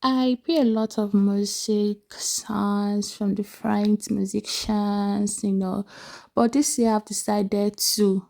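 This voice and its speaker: Slow, gravelly-voiced Nigerian woman vlogging. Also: mid-range voice, level tone. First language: Igbo. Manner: chatty, laughs often, soft-spoken. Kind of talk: casual vlog narration. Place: her home.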